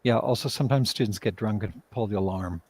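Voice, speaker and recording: A man talking casually on a livestream video call as a writer and director, telling things in a hesitant, halting way. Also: fairly low pitch, darkish tone, breathy edge, no room sound. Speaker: writer and director, interview guest.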